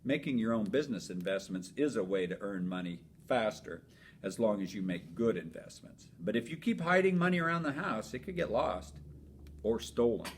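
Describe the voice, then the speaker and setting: A man's voice: expressive, moderate pace, natural voice. A man reading aloud as a patient, reasonable father in a storybook, explaining things with upbeat emphasis. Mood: reasoning. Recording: noisy with low rumble.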